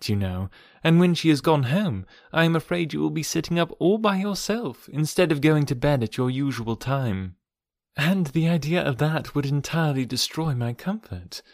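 A man's voice: medium pitch, no room sound, clean studio sound, close to an everyday voice. A man voicing an affectionate young lady, a dutiful daughter in a classic novel, tactful and reassuring. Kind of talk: audiobook character voice from a classic novel.

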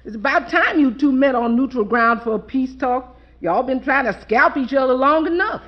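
A woman's voice: high voice, normal speed, lightly acted. A woman playing a cheerful, teasing housekeeper, chuckling as she talks. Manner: chuckling, folksy. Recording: dull old radio broadcast.

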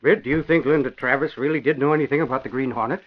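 A man's voice: mid-range voice, very fast, lightly acted. An actor playing a blustery, jovial older father in an old radio drama. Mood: startled, curious. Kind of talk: 1950s radio drama acting. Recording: dull vintage radio broadcast.

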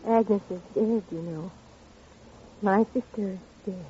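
An actress playing a frail, unhinged spinster with a brittle, evasive, menacing manner in a vintage radio drama. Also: female voice, mid-range voice, takes it slowly, dark tone, natural voice. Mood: uneasy, evasive. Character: frail, unhinged spinster who killed her sister, defensive, eerie. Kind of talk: 1940s-50s radio drama acting. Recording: dull old radio recording, faint noise.

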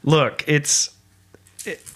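A man in his forties hosting a casual movie podcast, stammering and animated, joking as he talks. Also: very slow. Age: 40s. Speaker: podcast host.

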